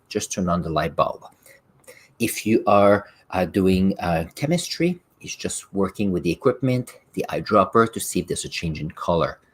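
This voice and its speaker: Middle-aged male podcast host, first language French, low husky voice, reflective and example-driven. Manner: reflective, explains with examples, occasional restarts. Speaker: podcast host and science teacher. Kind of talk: solo podcast talk.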